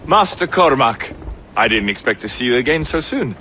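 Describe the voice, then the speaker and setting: Bright-voiced, courteous gentleman scholar. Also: medium pitch, expressive, normal speed, a little hiss or hum. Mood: courteous, intrigued. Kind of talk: period game cutscene acting.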